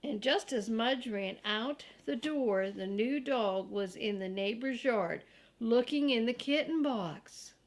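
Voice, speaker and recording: An older woman reading a children's storybook aloud, deliberate and grandmotherly, with casual asides to young listeners. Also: fairly high pitch. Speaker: storybook reader.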